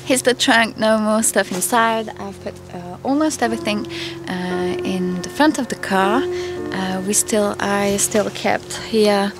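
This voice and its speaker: A woman's voice: medium pitch, measured pace, over music. Chatty woman vlogging outdoors. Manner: friendly, chatty, with small laughs and fillers. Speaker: vlogger, mother. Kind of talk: casual vlog narration to camera. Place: outdoors in a winter forest by a car. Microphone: camera held at arm's length.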